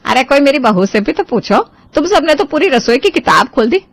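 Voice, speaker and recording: A woman's voice: sits high, sing-song, a little fast, dry recording. A woman voicing a doting, playful middle-aged mother-in-law in a family story, affectionate and teasing.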